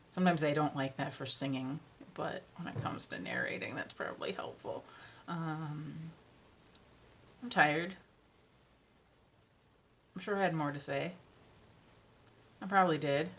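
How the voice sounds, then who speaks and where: A young woman with a deeper voice, chatting casually and self-deprecatingly about narrating audiobooks, full of ums and little laughs. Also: measured pace, pauses often, slightly rough. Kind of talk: casual chat.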